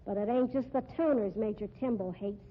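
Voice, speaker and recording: A woman's voice: medium pitch, fairly quick, lightly acted. An actress playing a worried, grumbling circus fat lady with a folksy, slangy manner in a 1950s radio drama. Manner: folksy, uneducated slangy grousing. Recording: muffled, faintly noisy old radio recording.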